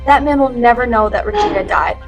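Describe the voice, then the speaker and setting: High-pitched, snide mean girl played by a woman. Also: brisk, muffled recording, soft background music, lightly acted. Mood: snide, scheming. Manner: haughty, insulting. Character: snide, scheming mean-girl twin sister. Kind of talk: student radio play acting.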